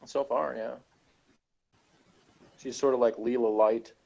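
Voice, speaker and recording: A man's voice: mid-range voice, steady tone, slow pace, vocal fry, mellow. A man chatting casually as a panelist in a group review of a TV show, with a sarcastic, joking manner. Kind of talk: casual group discussion of a TV episode. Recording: dull lo-fi recording.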